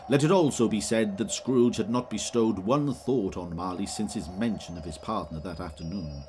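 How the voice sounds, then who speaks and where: Low-pitched male narrator, theatrical storybook delivery, measured phrasing, clear ambient noise behind the voice. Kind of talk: dramatic scripted storybook narration. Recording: noticeable background ambience noise.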